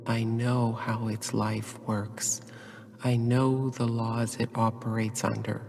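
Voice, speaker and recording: A proud, dogmatic emissary in a solemn video game monologue. He is cold and measured, with a flat, husky delivery. His words carry a long hall reverb. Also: mid-range voice, faint music behind. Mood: cold certainty.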